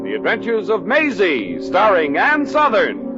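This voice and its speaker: Showy high-pitched radio announcer man. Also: huge pitch swings, measured pace. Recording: muffled old radio with loud music.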